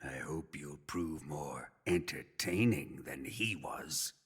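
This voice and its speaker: An actor playing a sadistic military interrogator in a video game, with a menacing, mocking and deliberate delivery. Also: male voice, rumbling low, slightly rough, heavily acted. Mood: quietly gloating. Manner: menacing, mocking, slow and deliberate, erupting into shouts. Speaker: villain interrogator. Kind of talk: dramatic video game cutscene acting.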